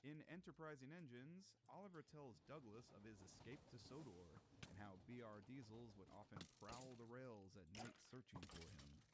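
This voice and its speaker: A man's voice: mid-range voice, moderate pace, few pauses, very noisy. A man reading scripted documentary-style narration in an enthusiastic, emphatic explainer manner.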